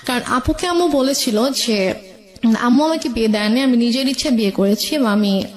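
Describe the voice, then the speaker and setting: A woman with a high voice and a Bangladeshi accent tells her life story as an interview guest. She speaks hesitantly, circling back over phrases and slipping in English words. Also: brisk. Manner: mixes English words into speech. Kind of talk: personal storytelling in a radio interview.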